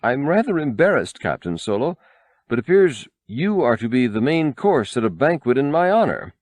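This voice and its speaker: A man's voice: mid-range voice, very animated, moderate pace, darkish tone, dry recording, pitched above the performer's own voice, lightly acted. A man voicing a fussy, haughty protocol droid in a prim, formal, pompous manner for an audiobook. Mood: embarrassed, formal.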